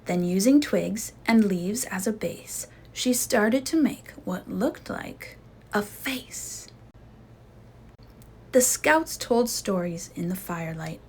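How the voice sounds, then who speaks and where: A woman reading a children's storybook aloud in an expressive, suspenseful storytelling manner. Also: mid-range voice, takes it slowly, husky.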